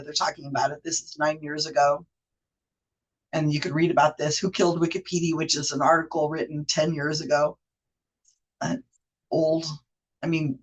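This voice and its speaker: Husky-voiced rambling editor with a cold. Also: mid-range voice, poor audio. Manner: chatty, rambling, self-correcting. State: has a cold. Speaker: Wikipedia editor. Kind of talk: casual screen-share explainer.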